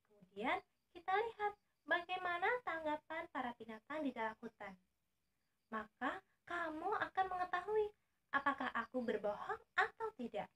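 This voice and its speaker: A woman's voice: extremely high pitch, big pitch swings, normal speed, fairly bright tone, voice pushed up, full character voice. A woman voicing a sly, boastful fox for a children's story, speaking pompously as if indignant. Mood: sly, persuasive.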